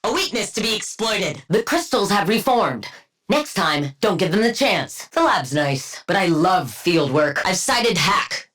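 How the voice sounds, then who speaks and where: A haughty woman scientist turned game supervillain. Her diction is crisp and clinical, and her mid-range voice swings widely in pitch as she delivers dry, condescending combat barks. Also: big pitch swings, measured pace, a bit bright. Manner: clinical, condescending, dryly witty. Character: cool, haughty, sardonic female scientist supervillain.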